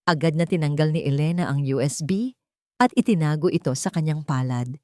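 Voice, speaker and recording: A woman's voice: low voice, lively intonation, brisk, dark tone, no echo, clean studio sound. A woman storyteller giving scripted dramatic narration of a love story.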